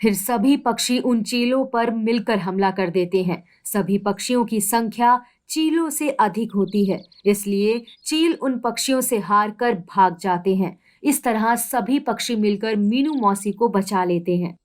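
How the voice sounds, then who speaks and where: A woman's voice: medium pitch, a little slow, few pauses. A woman narrating a scripted children's story.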